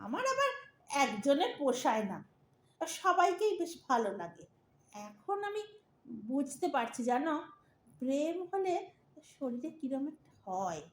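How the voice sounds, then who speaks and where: High-pitched, blithe, teasing old wife played by a woman. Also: sing-song, a little slow, long pauses. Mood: flirtatious, teasing. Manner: nonchalant, mock-sweet. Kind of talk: comic audio drama.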